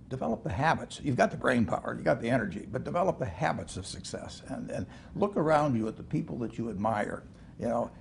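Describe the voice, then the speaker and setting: An older man talking as an interview guest, halting with many restarts and chuckles, in a studio set like a living room. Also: mid-range voice, creaky, slight background noise. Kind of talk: casual interview talk.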